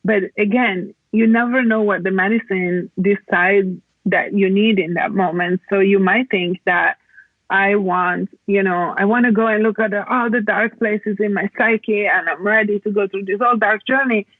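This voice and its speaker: A woman from Italy, explaining reflectively as a podcast guest in a halting, conversational way over a remote call. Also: mid-range voice, fairly even intonation, no echo, phone-quality sound. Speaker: podcast guest, plant medicine practitioner.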